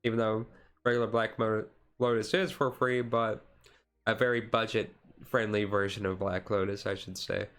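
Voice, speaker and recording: A man streaming casual, chill card game commentary in a halting, rambling way with frequent asides. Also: on the low side, little pitch movement, a bit bright. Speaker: streamer host.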